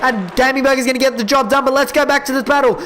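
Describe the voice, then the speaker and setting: A young man calling a sim racing event live, excitable and quick, his voice giving out and cracking. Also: very high-pitched, a little hiss or hum. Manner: excitable, quick calls that rise at overtakes. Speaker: esports race commentator. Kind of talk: live play-by-play race commentary.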